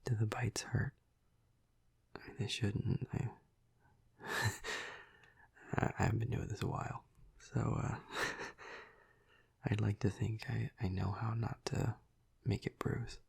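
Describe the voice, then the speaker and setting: A man playing a flirty, tender vampire lover in an intimate ASMR roleplay, half-whispering in a breathy, husky voice with hesitant, teasing murmurs. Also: fairly low pitch, little pitch movement, slow, pauses often, heavily acted. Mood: gentle, nervous.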